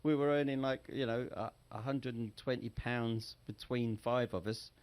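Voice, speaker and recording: A middle-aged English man with an English accent, a singer telling relaxed, chatty stories as an interview guest. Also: medium pitch, normal speed, smooth. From England. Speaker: guest, Sinatra tribute singer. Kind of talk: casual interview storytelling.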